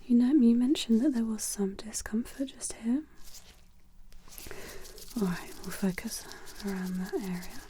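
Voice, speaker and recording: A woman whispering gently in an ASMR roleplay as an examiner, giving breathy, reassuring, unhurried instructions close to the microphone. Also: fairly high pitch, a little hiss or hum.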